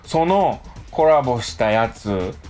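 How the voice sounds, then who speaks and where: A man hosting a radio show in casual, conversational chat, good-natured and quick to protest. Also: mid-range voice, animated, darkish tone, soft background music.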